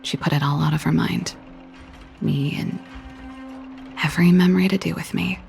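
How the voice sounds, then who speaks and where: A young, spirited big sister from a video game, an inventor and musician. Her voice sits a little low with a gravelly edge. She is teasing and expressive, with a wistful streak, over soft background music. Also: moderate pace. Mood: wistful, regretful. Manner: breezy, expressive, with sighs and trailing reflection.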